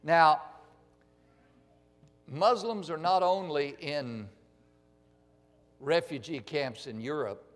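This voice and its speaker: A man addressing a church congregation, speaking earnestly and deliberately and often repeating words as he goes. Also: fairly high pitch. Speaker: missionary ministry speaker. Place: large church hall. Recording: reverberant church hall.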